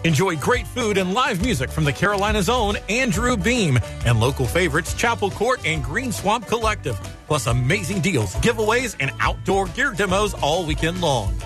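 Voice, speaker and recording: High-pitched, lively male promo announcer. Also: normal speed, few pauses. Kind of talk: event promo radio ad read. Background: music.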